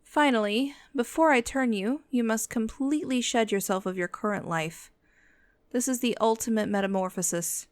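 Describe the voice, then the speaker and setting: Woman as a bossy, high-voiced female vampire. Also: no echo, lightly acted. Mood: firm, probing. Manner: commanding, condescending, unhurried, dry-humoured. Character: bossy, protective female vampire, immortal, businesslike and dryly menacing. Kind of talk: scripted ASMR roleplay audio, addressing the listener.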